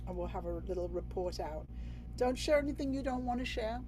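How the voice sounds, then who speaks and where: A woman's voice: medium pitch, lively intonation, fairly quick, noisy background. A woman from England hosting a video-call workshop, bubbly and chatty, with many fillers and restarts. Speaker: workshop host.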